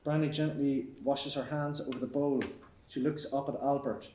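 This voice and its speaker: A man reading stage directions aloud at a live table read, even and matter-of-fact, in an echoey theatre. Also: mid-range voice, moderate pace, a little hiss or hum. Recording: echoey hall.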